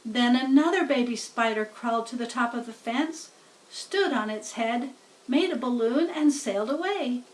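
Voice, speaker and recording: A woman reading a children's book aloud as a storyteller, in deliberate scripted narration. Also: a little high, lively intonation, far from the mic, low-quality recording. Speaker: storybook reader.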